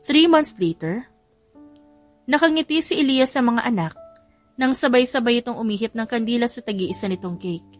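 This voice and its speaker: A Filipino woman narrates a romance audiobook in a scripted, dramatic style. Her voice sits a little high, with music playing underneath. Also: animated, normal speed, long pauses.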